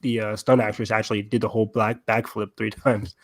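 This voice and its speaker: A man's voice: fairly low pitch, flat delivery, fairly quick, no echo, clean studio sound. A man talking casually as a panelist in a fan discussion. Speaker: podcast panelist.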